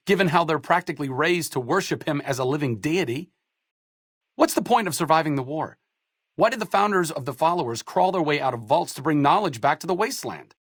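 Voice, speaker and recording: An actor playing an idealistic, bookish wasteland doctor in a video game, articulate and wry in scripted dialogue. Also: male voice, mid-range voice, no echo, clean studio sound, lightly acted. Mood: indignant. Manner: articulate, wry, erudite wording.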